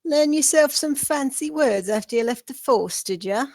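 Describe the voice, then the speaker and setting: A sneering river rat crime boss, played by a woman, with a fairly high voice. The talk is slangy and taunting, full of menace, in a very dry recording. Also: animated, normal speed, a little mellow, no room sound. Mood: mocking menace. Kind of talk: table-read character acting.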